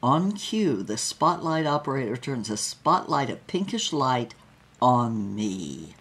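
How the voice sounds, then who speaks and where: Woman playing a low-voiced wry showgirl storyteller. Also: sing-song, takes it slowly, full character voice. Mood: wry, vivid. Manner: wry, theatrical, vivid storytelling. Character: wry, brassy female showgirl recounting her own story. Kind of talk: first-person dramatized narration.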